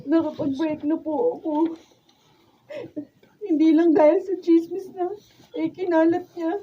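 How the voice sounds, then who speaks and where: A young student daughter with a very high voice, full of hurt. The delivery is melodramatic Filipino radio drama. The sound is a little dull, as if from a lo-fi studio recording. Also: female voice, steady tone, unhurried. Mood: sorrowful, confiding. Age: young adult.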